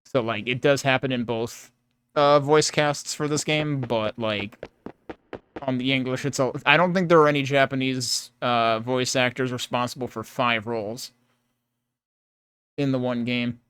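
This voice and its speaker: A man's voice: mid-range voice, fairly even intonation, a little slow, a bit bright, clear, resonant tone. A man giving casual, rambling playthrough commentary as he thinks aloud. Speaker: game streamer.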